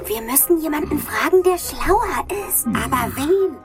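Clever little insect: perky, inquisitive, high and animated, for children's audio drama. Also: female voice, a little slow, music in the background. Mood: eager, inquisitive.

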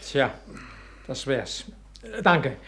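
An actor playing a reassuring, businesslike police sergeant in a German radio play, speaking a little haltingly. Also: male voice, mid-range voice, lively intonation, pushed and bright, exaggerated voice.

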